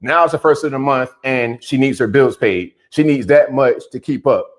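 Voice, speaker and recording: Guy breaking down celeb gossip, blunt, mid pitch voice. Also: normal speed. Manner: direct, addresses subjects bluntly. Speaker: commentator. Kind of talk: opinionated news commentary.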